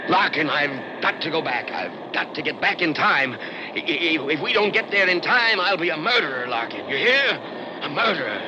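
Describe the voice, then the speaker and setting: An actor playing an obsessed, impassioned scientist in a 1960s radio drama, with emphatic, exclamatory delivery. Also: male voice, fairly high pitch, big pitch swings, moderate pace, heavy background noise. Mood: horrified. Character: impassioned male scientist, obsessed inventor of an electronic brain. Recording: dull old radio recording, loud music behind.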